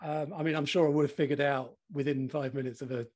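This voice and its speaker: A man in his forties with a slightly croaky voice, co-hosting a casual podcast chat, laughing often and swearing freely. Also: fairly high pitch, fairly even intonation, fast talker, darkish tone. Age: 40s. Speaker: podcast co-host.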